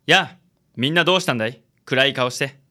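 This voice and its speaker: A man playing a suave, gentlemanly ladies' man in his late thirties, theatrical and flowery, in a radio drama. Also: medium pitch, fast talker, background noise, lightly acted. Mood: breezy, suave. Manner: smooth-talking, theatrical, gentlemanly. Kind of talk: Japanese radio drama acting.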